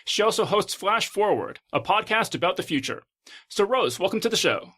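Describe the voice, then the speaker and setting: Male podcast host, slightly high bright voice, conversational interviewer. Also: sing-song, moderate pace, husky.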